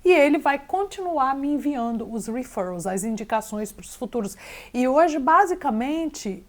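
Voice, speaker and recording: A woman's voice: high-pitched, lively intonation, moderate pace. Brazilian woman slipping English into her talk. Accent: Brazilian Portuguese. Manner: mixes English words into Portuguese. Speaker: real estate agent guest. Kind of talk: casual interview chat.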